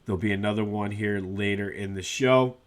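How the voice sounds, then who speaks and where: A man's voice: on the low side, fairly even intonation, normal speed. A man hosting a solo sports podcast, a bit congested and nasal from a cold, talking casually with lots of "uh" fillers. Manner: conversational, with frequent "uh" fillers.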